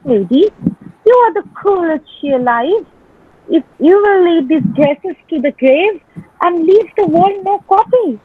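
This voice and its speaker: A woman playing an ardent young gentleman messenger in a Shakespearean dramatic reading, declaiming in a drawn-out, courtly manner. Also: very high-pitched, animated, dry recording. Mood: admiring, frank. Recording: thin phone-like band, dry sound.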